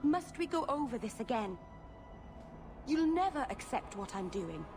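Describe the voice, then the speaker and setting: A woman's voice: fairly high pitch, sing-song, a little slow, over music. A woman playing an earnest, stubborn young elf mage in a video game cutscene, speaking with open emotion. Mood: hurt, defiant. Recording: noisy game ambience behind.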